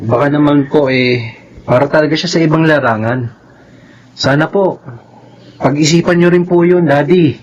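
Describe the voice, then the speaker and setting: An actor with a Filipino accent playing a respectful, gentle young doctor son in a radio soap. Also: male voice, a little high, moderate pace, pauses often, dull audio, a little hiss or hum, lightly acted. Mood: gently pleading.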